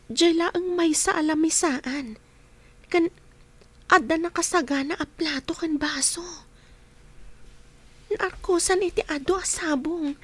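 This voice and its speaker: Sweet young Ilocana sweetheart for radio drama; high pitch, unhurried pace. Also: female voice, a little hiss or hum. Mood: awed, curious. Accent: Filipino, Ilocano. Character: sweet young woman being courted by her boyfriend.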